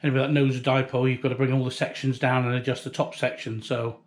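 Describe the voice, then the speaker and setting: A man's voice: medium pitch, level tone, quick pace. A British man in his fifties giving a chatty, unpolished, rambling show-and-tell as a hobbyist, recorded outdoors on a handheld device. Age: 50s. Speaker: hobbyist video maker. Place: outdoors beside a house, breezy. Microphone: handheld camera or phone.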